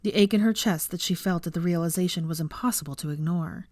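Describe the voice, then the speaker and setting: A woman's voice: on the low side, fast talker, a little mellow, dry recording, clean studio sound. A woman reading fiction aloud as a scripted story narrator.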